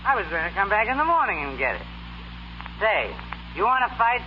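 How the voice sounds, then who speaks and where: An actor playing a belligerent, erratic gentleman with a blustering, abrupt manner in a 1930s radio comedy. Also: high voice, huge pitch swings, fairly quick. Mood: breezy, pugnacious. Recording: muffled, noisy 1930s radio recording.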